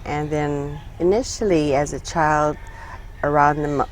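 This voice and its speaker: An older woman from Hawaii, a retired social worker recalling her life in a relaxed interview, speaking haltingly with many pauses and fillers. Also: low-pitched, a little hiss or hum, poor audio. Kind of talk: casual reminiscing conversation.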